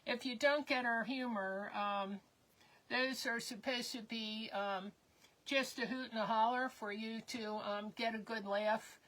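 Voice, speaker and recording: An older woman's voice sits a little high and sounds hoarse, as if it is giving out. She rambles cheerfully through a live stream with plenty of ums. The talk flows loose and unscripted. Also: steady tone, unhurried, a bit bright, low-quality recording. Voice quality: slightly hoarse. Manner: chatty, rambling with many ums. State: losing her voice. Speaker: co-host of a live crafting stream. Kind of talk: casual live-stream chat.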